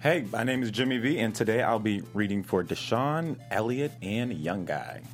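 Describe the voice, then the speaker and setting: A man reading parts as an actor in a table read, with an animated delivery. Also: on the low side, moderate pace, soft background music.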